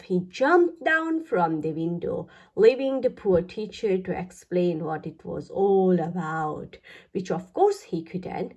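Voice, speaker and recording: A woman reads a children's story aloud with a lightly husky voice. Her pitch moves up and down in a very animated way, and she takes her time over each phrase in an expressive, deliberate style for young listeners.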